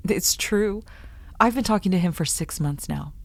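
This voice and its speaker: Earnest grown daughter in an old radio play, questioning everything, lowish voice, really dry sound. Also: female voice, normal speed, vocal fry, no room sound. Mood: guarded, defiant. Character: earnest, disillusioned grown daughter, a university researcher. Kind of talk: old-time radio drama acting.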